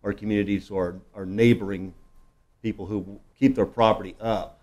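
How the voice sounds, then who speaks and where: A man's voice: on the low side, steady tone, normal speed, darkish tone. A man speaking as a council member, making informal, blunt and folksy complaints in a meeting room.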